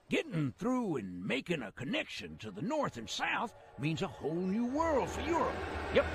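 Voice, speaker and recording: Game narrator: jovial Western old-timer, folksy drawl, crackly gravel in a high-set voice, theatrical storytelling. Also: male voice, exaggerated voice. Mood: folksy, animated. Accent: American Southern or Western drawl. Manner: colloquial, theatrical drawl with chuckles.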